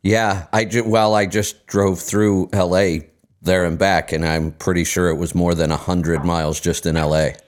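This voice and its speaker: An American man with a low voice hosts a relaxed interview. He is inquisitive and friendly, laughs readily, and draws his guest out with questions. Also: normal speed. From United States. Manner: curious, easygoing, laughs often. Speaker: podcast host. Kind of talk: casual interview conversation.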